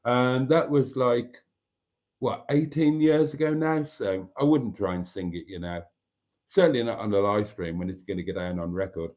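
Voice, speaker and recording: A man's voice: on the low side, normal speed, darkish tone. A Welsh man, a native Welsh speaker, hosting a live stream and musing in a meandering way, with many fillers and restarts. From Wales. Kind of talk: casual live stream chat.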